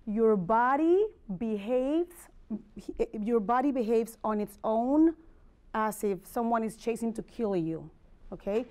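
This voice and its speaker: A woman's voice: fairly high pitch, lively intonation, slow pace, darkish tone. A middle-aged woman from Mexico City with a Mexican accent, a native Spanish speaker, gives a personal wellness presentation as a motivational speaker, talking candidly and conversationally and checking in with her listeners. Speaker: motivational speaker and life coach.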